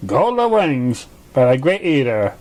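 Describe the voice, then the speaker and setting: A man reading a story aloud as narration, halting and often stumbling over the words. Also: medium pitch, sing-song, a little mellow, slight background noise. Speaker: amateur fan fiction reader.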